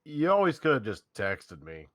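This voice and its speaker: A man's voice: medium pitch, wildly swooping pitch, moderate pace, fairly bright tone. A man reading the part of an awkward teenage boy in a casual staged reading, stammering and repeating himself nervously. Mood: flustered. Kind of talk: casual script read-aloud.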